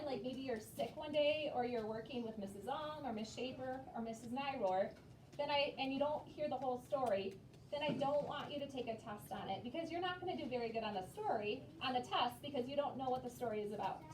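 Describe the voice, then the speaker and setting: Female elementary teacher, high voice, patient classroom delivery, distant mic with roomy echo. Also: lively intonation, brisk, a little mellow. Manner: simple, patient explaining with frequent check-in questions. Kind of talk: classroom instruction to young children. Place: elementary school classroom, indoors. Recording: echoey noisy classroom, far from mic.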